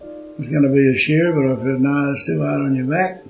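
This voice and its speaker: An older Australian man with a rough, gravelly edge tells stories of the old days in a plain, laconic way. He halts now and then over fillers. Faint music sits under his voice. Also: mid-range voice, fairly even intonation, a little fast, low-quality recording. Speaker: interviewee, retired forestry worker. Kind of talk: casual reminiscing.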